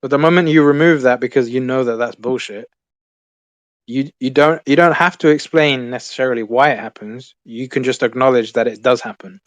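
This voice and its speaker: A man's voice: medium pitch, fairly even intonation, brisk, dry recording, lo-fi sound. A man in an online debate, dismissive and cutting in over others. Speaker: debater on a call.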